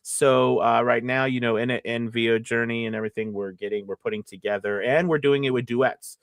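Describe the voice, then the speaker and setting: A man's voice: mid-range voice, steady tone, brisk, creaky, no echo. A man hosting a casual live Q&A as a voice-over coach, talking in a hesitant, stop-and-start way.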